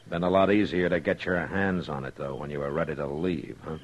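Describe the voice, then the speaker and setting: An actor playing a stern, seasoned frontier marshal in a radio Western, terse and commanding with a dry, skeptical edge. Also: male voice, very deep voice, fairly even intonation, a little fast. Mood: stern, probing. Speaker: lawman. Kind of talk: old-time radio Western acting. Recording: hissy old radio recording.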